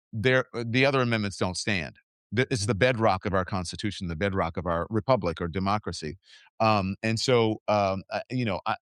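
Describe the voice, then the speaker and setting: A man's voice: lowish voice, a bit bright, no room sound, clean studio sound. A man hosting his own show, talking earnestly and reflectively in casual conversation, with many fillers and restarts. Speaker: podcast host.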